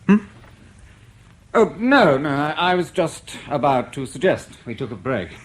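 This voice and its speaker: An actor playing a probing, sceptical intelligence investigator in a radio drama, formal, precise and dryly polite. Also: male voice, fairly high pitch, very animated, unhurried. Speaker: interrogator in a spy drama.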